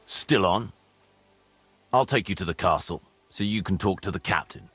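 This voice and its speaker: A man playing a blunt, mocking, foul-mouthed medieval mercenary commander in a video game, with a husky, forceful voice over music. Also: deep voice, animated, normal speed, exaggerated voice. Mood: sardonic, warming. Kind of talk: video game cutscene acting.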